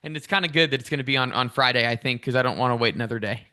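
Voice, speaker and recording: A man's voice: medium pitch, very fast, sharp tone, clear, resonant tone. A man who works as a play-by-play announcer, chatting as a guest in a casual sports interview.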